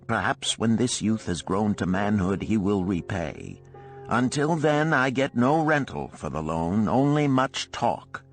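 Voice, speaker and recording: Man acting an animated, worldly old moneylender. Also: lowish voice, a little slow, faint music behind. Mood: exasperated storytelling. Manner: archaic, emphatic, declamatory. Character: worldly older male gold lender, shrewd, proud storyteller.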